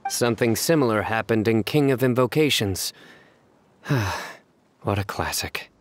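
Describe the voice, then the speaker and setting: An actor voicing a solemn, earnestly nerdy young man in a video game with a grave, deadpan delivery. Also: on the low side, unhurried, a little hiss or hum, natural voice. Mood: nostalgic.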